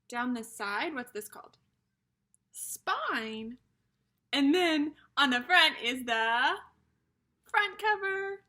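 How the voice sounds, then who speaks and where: A woman teacher reading aloud to young children in an animated, instructional way, with simple questions and emphatic repetition. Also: high-pitched. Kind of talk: children's read-aloud lesson.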